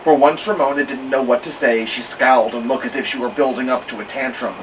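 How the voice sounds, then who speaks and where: A man with a mid-pitched voice narrates a children's story. He reads as a storytime host would. The recording is dull and away from the mic, with faint steady room noise. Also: a little fast, recorded from a distance. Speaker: storytime reader. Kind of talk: children's audiobook-style narration.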